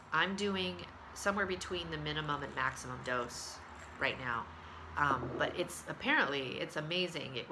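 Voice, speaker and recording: A woman's voice: sits low, background noise. A woman who sounds congested, giving a casual video diary update with lots of fillers and restarts. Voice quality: congested, nasal. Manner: chatty, with many fillers and restarts. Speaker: vlogger sharing a health diary.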